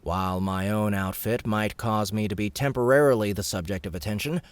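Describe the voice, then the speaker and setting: A male narrator voicing an eager, formal naval petty officer who talks in a brisk, proper, slightly flustered way. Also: fairly low pitch, clear, resonant tone, no echo, lightly acted. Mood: explaining. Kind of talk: audiobook character voice.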